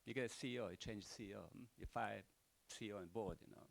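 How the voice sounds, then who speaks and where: A man's voice: on the low side, fast, creaky. An older man with a Croatian accent giving rambling, unscripted closing remarks at a panel event, full of rhetorical questions and "you know".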